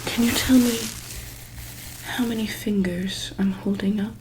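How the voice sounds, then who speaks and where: A woman speaking softly and breathily, near a whisper, with gentle hesitant pauses, playing a calm medic in an ASMR roleplay. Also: mid-range voice. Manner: hesitant, gentle, pausing often mid-sentence. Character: calm, attentive female medic examining a monster patient. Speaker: ASMR performer playing a monster hospital medic. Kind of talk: soft-spoken ASMR roleplay. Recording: noticeable background noise.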